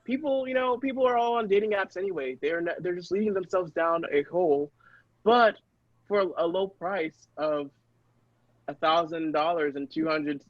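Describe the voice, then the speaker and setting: A man's voice: high voice, moderate pace, no echo, muffled recording, lightly acted. A man playing a persuasive, rambling doctor-inventor hawking robots in an improvised comedy sketch. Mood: persuasive, defensive. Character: salesy male doctor inventor pitching his robots.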